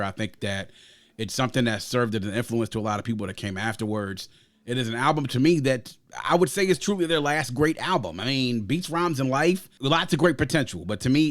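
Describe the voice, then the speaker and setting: A man's voice: mid-range voice, fairly bright tone. A man hosting a music review podcast, giving opinions in an emphatic, conversational way and repeating phrases for emphasis. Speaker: podcast host.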